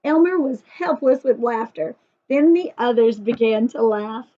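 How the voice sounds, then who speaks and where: Female children's storyteller, high-pitched and unhurried, sing-song and expressive, on a dull lo-fi recording. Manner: expressive, sing-song, asks listeners questions. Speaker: storyteller and teacher for young children. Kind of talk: read-aloud children's story with chatty asides to young viewers.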